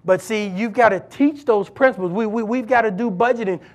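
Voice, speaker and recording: A man's voice: high voice, brisk, a little mellow. A male pastor preaching a sermon, emphatic and exhorting, repeating key phrases for weight.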